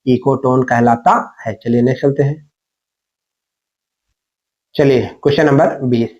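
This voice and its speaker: A man's voice: mid-range voice, fairly even intonation, unhurried, darkish tone. A man teaching like an exam coach, going through questions and answers in an instructional way and repeating them with rhetorical prompts. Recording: dull lo-fi microphone sound.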